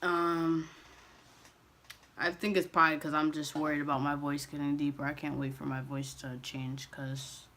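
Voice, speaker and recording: A young man chatting casually to camera as a vlogger, relaxed and loose, with frequent ums and restarts. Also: on the high side, moderate pace, a little hiss or hum.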